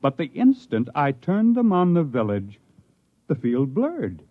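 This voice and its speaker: A man's voice: a little high, wildly swooping pitch, measured pace, no echo, heavily acted. An actor playing a proud, intense stranded spaceman telling his story in a 1950s radio drama, with emphatic, dramatic delivery. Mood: puzzled, indignant. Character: stranded human spaceman recounting his life among aliens, proud, intense. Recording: muffled vintage 1950s radio recording.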